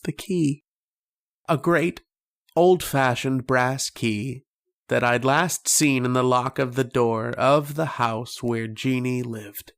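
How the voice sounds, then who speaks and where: An actor playing a lovestruck, haunted young man who tells of his dreams in a slow, wistful, literary style of old-time radio drama. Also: medium pitch, gravelly. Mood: somber. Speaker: actor and narrator in a horror radio play.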